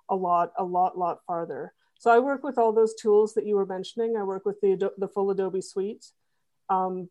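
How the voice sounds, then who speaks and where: Woman guest explaining stuff, warm mellow voice, repeats words while thinking. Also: medium pitch. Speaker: podcast guest, web designer. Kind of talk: casual interview chat.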